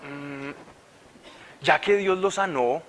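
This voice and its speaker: A man's voice: on the high side, slow, a bit bright, a little hiss or hum. A man preacher putting on a Mexican accent to voice a fussy middle-aged engineer who insistently lectures someone to be grateful and obedient. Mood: lecturing. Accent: put-on Mexican.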